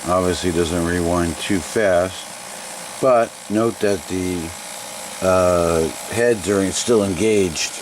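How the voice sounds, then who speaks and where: A man's voice: low voice, takes it slowly, noisy background. A man casually demonstrating old equipment, thinking aloud in a tentative, unscripted way as he goes. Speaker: demonstrator of vintage video equipment.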